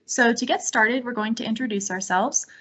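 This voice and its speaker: A woman, a student services officer, giving a clear, scripted welcome to an orientation. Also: a little high, normal speed, fairly bright tone. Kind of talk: presentation. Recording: muffled lo-fi audio.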